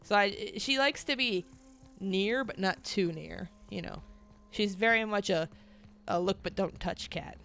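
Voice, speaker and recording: A woman's voice: mid-range voice, unhurried, vocal fry, a bit bright. A young woman streaming a video game, nasal from a cold, chatting in a rambling way with viewers. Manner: chatty, rambling, laughs often. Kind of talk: casual chat while playing.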